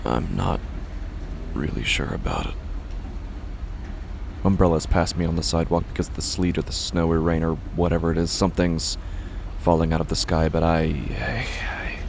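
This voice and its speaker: An actor playing a weary, confused man who clings to rigid rules, narrating a horror monologue in a halting, repetitive, gravelly voice. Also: sits low, slight background noise. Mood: uncertain, brooding. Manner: hesitant, halting, brooding, repeats himself. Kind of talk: first-person horror audio drama monologue.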